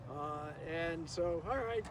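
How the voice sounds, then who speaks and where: Older male, high-pitched, rambling memorial reminiscence with many pauses, captured outdoors on a distant mic amid clear noise. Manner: halting, rambling delivery with frequent pauses. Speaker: speaker giving a memorial tribute. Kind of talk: informal reminiscing speech to a gathering. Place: outdoors in a garden. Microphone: distant camera microphone, no working PA. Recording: noisy outdoor recording, distant microphone.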